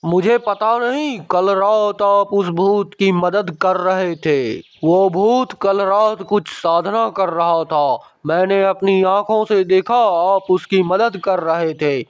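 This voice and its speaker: A man's voice: high-pitched, takes it slowly, hardly any pauses, smooth. A man voicing a worried male village leader in a storybook horror cartoon, speaking with alarmed exclamations. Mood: anxious. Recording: dry, dull lo-fi sound.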